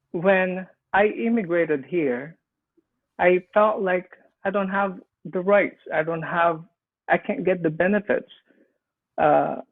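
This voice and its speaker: Earnest Filipino-accented male panelist, slightly raised pitch, phone-quality audio. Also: vocal fry. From Philippines. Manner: earnest, halting with frequent restarts. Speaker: immigrant small business owner. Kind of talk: panel discussion storytelling. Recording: tinny phone-like line.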